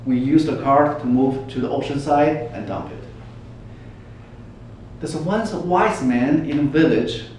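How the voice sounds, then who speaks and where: A man's voice: a little high, measured pace, long pauses, a little mellow. A man telling a story as a dramatic, emphatic contest speech, putting rhetorical questions to his listeners. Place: large echoey room, speaking to an audience. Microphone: distant microphone. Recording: echoey room from far off, faint noise.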